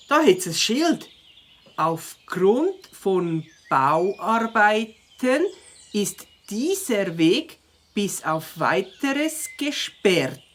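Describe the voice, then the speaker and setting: A woman's voice: medium pitch, heavily acted. A woman voicing a young, outspoken, skeptical boyish beaver biker in a children's audio drama. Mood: puzzled.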